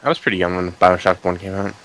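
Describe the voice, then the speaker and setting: एक युवा आदमी, podcast host, मज़ाकिया और बेबाक अंदाज़ में खुलकर गालियाँ देते हुए बात करता है।. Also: male voice, low-pitched, steady tone, fast, fairly bright tone, low-quality recording. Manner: brash, joking, swears freely. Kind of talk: casual chat.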